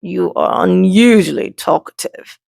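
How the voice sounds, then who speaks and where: A woman voicing a gruff, weary outdoor rock in a children's storybook, curt and dour. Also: lowish voice, unhurried, darkish tone, dry recording, studio quality, heavily acted. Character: gruff, terse, weary wild outdoor rocks. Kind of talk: children's storybook read-aloud.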